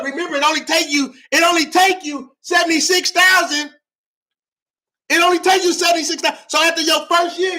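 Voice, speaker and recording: Man, very high voice, bright and forceful, loud lecture style, keeps checking the chat is with him, voice getting strained. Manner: loud, often yelling, repeats phrases, asks the audience for replies. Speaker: livestream real estate teacher. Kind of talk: fired-up livestream lecture.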